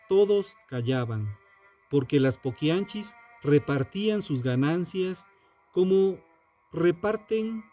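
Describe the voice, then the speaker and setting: A man delivering dramatic scripted true-crime narration in a solemn, deliberate, sententious manner. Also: mid-range voice, mellow. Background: background music.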